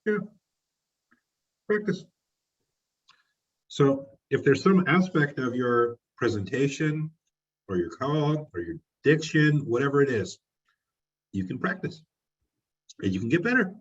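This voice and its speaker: A man's voice: mid-range voice, big pitch swings, a little slow, long pauses, breathy edge, highs cut off. A man leading a real estate training session, encouraging people and asking the group questions. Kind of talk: coaching a group discussion.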